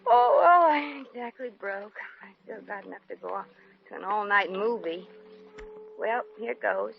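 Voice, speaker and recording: A woman's voice: mid-range voice, expressive, normal speed, creaky, soft background music. An actress playing a brassy, hard-up showgirl with a slangy, sassy manner in a 1950s radio comedy. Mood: weary, wry. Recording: dull old radio recording with hiss.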